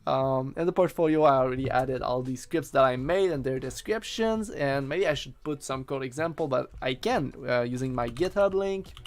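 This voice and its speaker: A man's voice: medium pitch, normal speed, few pauses. A man live-streaming his coding work, chatting casually to viewers with lots of fillers and enthusiasm. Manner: rambling and chatty, many fillers, enthusiastic about his tools.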